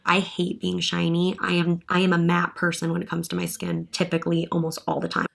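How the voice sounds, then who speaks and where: A young woman talks through a get-ready-with-me tutorial in a chatty, upbeat way, thinking out loud as she works. She sounds a little stuffed up from a cold, and a gravelly fry creeps into her voice. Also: fairly low pitch, completely flat delivery, brisk. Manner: chatty, upbeat, thinking aloud while working. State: congested from a cold, sniffly. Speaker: beauty vlogger, hair and makeup artist.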